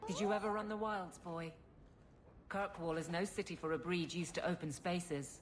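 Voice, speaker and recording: A woman's voice: on the low side, steady tone, normal speed, faint music behind, natural voice. An actress playing a practical, friendly female guard captain in a fantasy video game, affectionate and no-nonsense. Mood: fond, brisk. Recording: loud background noise.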